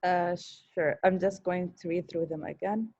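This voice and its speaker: A woman on an online panel, speaking in an earnest, thoughtful way with frequent pauses and repeated words. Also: on the low side, fairly even intonation, darkish tone, muffled recording. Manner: thoughtful, earnest, with hesitations and repetitions. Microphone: video call.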